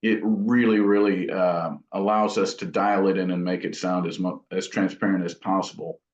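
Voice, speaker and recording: A man with a fairly low voice speaks in a casual interview as a craftsman who builds gear. He is thoughtful and halting, working through technical detail in an informal way. The sound is dull and lo-fi, with a strong room echo. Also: little pitch movement. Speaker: amp builder and company founder being interviewed.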